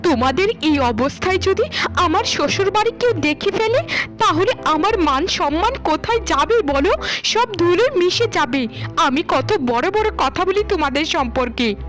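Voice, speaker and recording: Very high, shrill haughty daughter played by a woman. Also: lively intonation, moderate pace, fairly bright tone, faint music behind, rough recording. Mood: ashamed, annoyed. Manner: shrill, stammering, scolding. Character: haughty young married daughter, status-obsessed and flustered. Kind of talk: Bengali cartoon melodrama acting.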